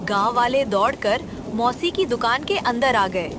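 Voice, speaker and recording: A woman's voice: a little high, expressive, unhurried, noisy background. A woman narrator reading a scripted children's story. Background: music under the voice.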